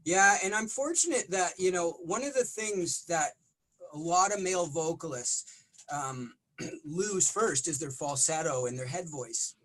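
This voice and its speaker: A middle-aged man, a rock vocalist, talking in a casual interview with a smoky, raspy voice and lots of restarts. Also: a little high, animated, unhurried, a bit bright, poor audio. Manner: rambling, frequent restarts and fillers.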